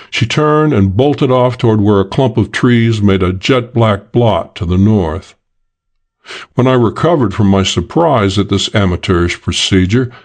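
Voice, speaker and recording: Male audiobook narrator, lowish voice, dry and measured first-person crime read.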